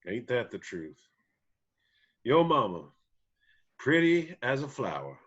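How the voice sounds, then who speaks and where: A man's voice: medium pitch, sing-song, takes it slowly, a bit bright, husky, lo-fi sound, heavily acted. A man reading an easygoing, teasing country band singer with folksy phrasing in a screenplay table read. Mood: fond, amused.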